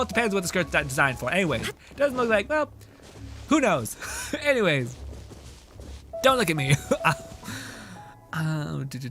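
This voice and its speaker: A male game streamer who rambles playfully, laughs a lot and swears freely. His voice sits a touch high, with faint music playing behind him. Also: fairly bright tone. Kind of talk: casual livestream commentary.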